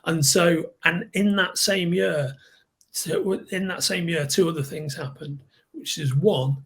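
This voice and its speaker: A man in his fifties from with a slightly raspy voice, a podcast guest who rambles and repeats words while he recalls. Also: on the high side, unhurried, fairly bright tone. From Liverpool, England. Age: 50s. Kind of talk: casual podcast chat.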